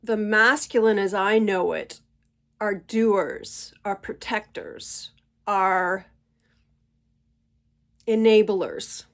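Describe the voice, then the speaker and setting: A middle-aged woman speaks at a slow, unhurried pace, pausing often to gather her thoughts. Her voice sits in a medium pitch and is slightly congested from a cold. She talks to her camera at home in a gentle, reflective monologue. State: stuffy from a cold. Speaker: channel host.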